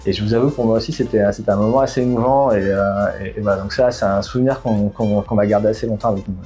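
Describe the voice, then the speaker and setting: Hesitant French man, mellow voice. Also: on the low side, fairly even intonation, quick pace, soft background music. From Bordeaux, France. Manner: hesitant, many fillers and restarts. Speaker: startup founder. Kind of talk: interview answers.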